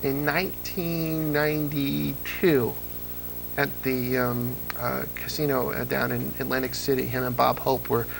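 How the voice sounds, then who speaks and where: Over a faint hum and some noise, a man with a creaky, gravelly voice talks as a guest in a relaxed interview. He tells anecdotes conversationally and slips into quoted dialogue as he goes. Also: medium pitch, a little slow, low-quality recording. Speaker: interview guest, old-time radio fan. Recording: audible background noise and hum.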